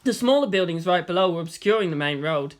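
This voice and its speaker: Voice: mid-range voice, expressive, quick pace. A narrator reading a scripted story aloud as in an audiobook.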